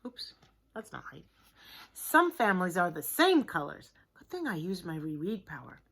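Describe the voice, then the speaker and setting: A woman reading a children's picture book aloud like a teacher, in a deliberate, expressive way, with hushed chatty asides to her listeners. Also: lowish voice, husky. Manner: slow, expressive, simple deliberate phrasing.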